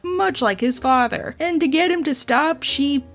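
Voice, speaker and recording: A young woman with a sore, hoarse throat, narrating a casual video essay with sardonic, chatty asides. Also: sits high, unhurried, loud music behind the voice.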